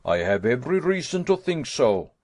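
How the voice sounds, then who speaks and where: A man reading an audiobook, voicing a calm older professor who speaks in a cool, measured, faintly amused way. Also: mid-range voice, no room sound.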